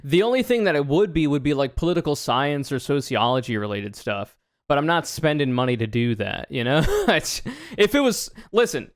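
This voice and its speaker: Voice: mid-range voice, big pitch swings, normal speed, no room sound, clean studio sound. A man in his twenties from the United States chatting casually on a livestream, reading questions aloud and answering with joking, sarcastic, rambling asides. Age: 20s.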